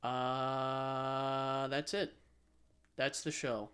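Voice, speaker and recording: Casual male podcast co-host, mid-pitched. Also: little pitch movement, extremely slow, a bit bright, clean tone. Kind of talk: casual chat about video games.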